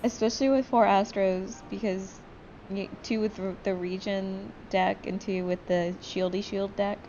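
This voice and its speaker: A woman's voice: medium pitch, fairly even intonation, measured pace, warm tone, dull audio, a little hiss or hum. A woman hosting a gameplay video, chatting casually, joking and swearing freely. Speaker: gaming video host. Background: game music.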